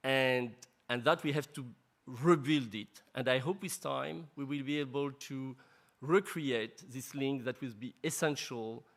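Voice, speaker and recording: A man's voice: medium pitch, measured pace. A man speaking as an invited scientist, giving a considered answer at a science event.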